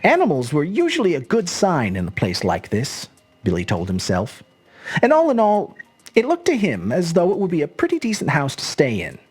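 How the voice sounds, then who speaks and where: A storyteller narrating a scripted short story in an expressive, measured, dramatic manner. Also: medium pitch, slightly rough, faint music behind. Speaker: storyteller, host.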